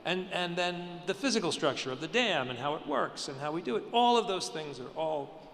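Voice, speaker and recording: Excitable male panelist, bright and forceful tone, long hall reverb. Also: sits high, brisk. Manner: excitable, repeats phrases. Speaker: writer. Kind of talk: panel discussion. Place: large convention hall stage. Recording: echoey hall, faint hum.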